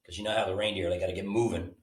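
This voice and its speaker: A man reading a children's bedtime story aloud in an animated, informal way, like a storyteller with a picture book. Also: sits low, very fast. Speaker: storybook reader. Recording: roomy, mic at a distance.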